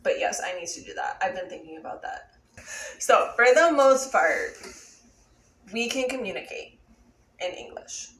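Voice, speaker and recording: A woman's voice: medium pitch, expressive, moderate pace, long pauses, fairly bright tone. A young American woman from Buffalo, a vlogger chatting casually and explaining things at length. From Buffalo, USA. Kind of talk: casual chat. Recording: echoey room, mic at a distance, faint noise.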